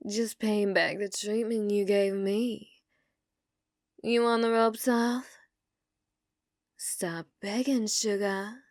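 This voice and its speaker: A woman's voice: mid-range voice, creaky, a little mellow, no room sound, clean studio sound. A woman playing a dominant, possessive female bounty hunter in an ASMR roleplay, taunting and sultry, often dropping into whispers. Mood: taunting, commanding.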